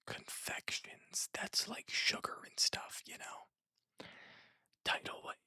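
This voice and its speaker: A person whispering casual, rambling commentary while playing puzzles, musing aloud in a breathy, hushed voice close to the microphone. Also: slow, dry recording. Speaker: host of a puzzle-game stream.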